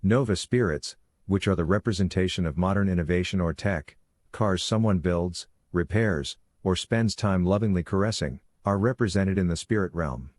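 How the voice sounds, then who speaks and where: Man voicing a low-pitched werewolf pack leader, instructive. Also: a little mellow, dry recording, clean studio sound. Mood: solemn instructive. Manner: measured, instructive lecturing. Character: calm authoritative male werewolf pack leader teaching young pack.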